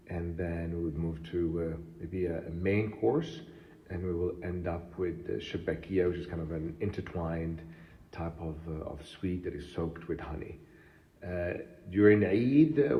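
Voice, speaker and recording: Very deep-voiced man from Morocco, halting. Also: warm tone. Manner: halting, many fillers. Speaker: parent. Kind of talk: casual recollection. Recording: dull, reverberant recording.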